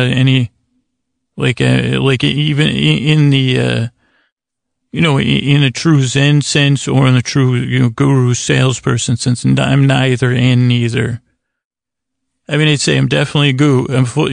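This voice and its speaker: A man hosting a bedtime podcast in a gravelly voice, rambling and halting as he improvises, drifting whimsically and trailing off mid-thought. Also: medium pitch, no echo, clean studio sound. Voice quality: gravelly, creaky voice. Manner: mildly whimsical, acts out imagined exchanges. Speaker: podcast host.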